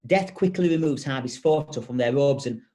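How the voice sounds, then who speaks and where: A man's voice: medium pitch, brisk, warm tone. A man reading stage directions as scripted narration.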